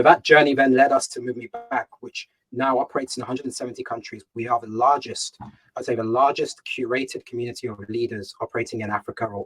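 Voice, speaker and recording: Man, Ga, founder guest on a video call; husky, earnest, repetitive, emphatic. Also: mid-range voice, rough recording. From United Kingdom. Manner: earnest, emphatic, repeats words, asks himself questions. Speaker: startup founder and CEO, guest. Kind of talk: fireside chat on a video call.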